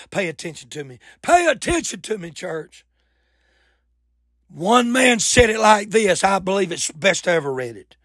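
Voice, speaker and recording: A man preaching a fervent church sermon in a raspy voice, with emphatic, folksy exclamations and repeated phrases. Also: high-pitched, fairly bright tone, dry recording, studio quality. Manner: folksy, colloquial.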